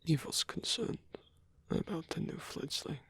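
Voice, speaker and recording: A performer playing a lonely old male vampire in an ASMR roleplay, tender and wistful, speaking in a breathy, husky whisper with halting delivery. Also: medium pitch, a bit bright, no room sound, heavily acted. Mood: sombre, reassuring.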